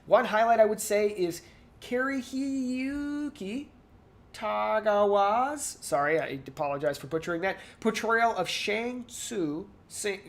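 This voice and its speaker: A podcast co-host talks in a casual, off-the-cuff way. The delivery is hesitant and rambling, circling back over words, at an ordinary middle pitch. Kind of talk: casual pop culture discussion.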